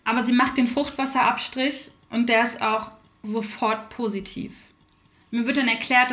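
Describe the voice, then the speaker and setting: A woman's voice: a little high, roomy sound. A woman voicing an outspoken young mother recounting her birth, in a theater radio play monologue. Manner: forceful, outspoken.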